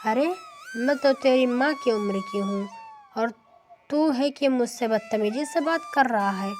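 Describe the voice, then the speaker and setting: A woman's voice: fairly high pitch, a little slow, a little mellow, faint music behind, lightly acted. A woman voicing an outspoken old village woman in a cartoon moral story, indignant and chiding.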